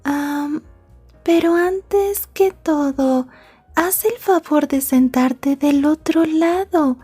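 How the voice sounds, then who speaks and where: A woman playing a possessive, polite young yandere girlfriend in an ASMR roleplay, speaking in a prim, wordy and softly menacing way. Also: high voice, very slow, soft background music. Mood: prim, jealous. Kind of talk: Spanish-language ASMR roleplay acting.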